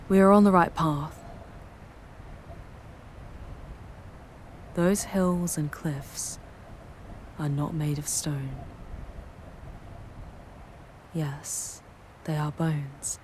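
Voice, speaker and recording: A woman's voice: low-pitched, a little mellow, a little hiss or hum. A woman playing a proud, ancient demoness guide in hell, grave and formal, in a scripted ASMR roleplay. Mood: solemn, ominous. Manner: grave, imperious, measured and formal. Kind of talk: scripted ASMR roleplay, solemn poetic monologue.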